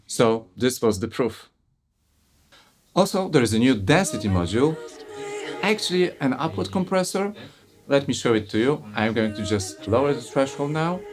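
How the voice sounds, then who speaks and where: Polish guy walking through a mixing plugin, mid pitch, easygoing, uhs and you-knows, music under him. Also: moderate pace. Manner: relaxed, explanatory, with frequent "uh" and "you know". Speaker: audio plugin reviewer. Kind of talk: casual software review and demo.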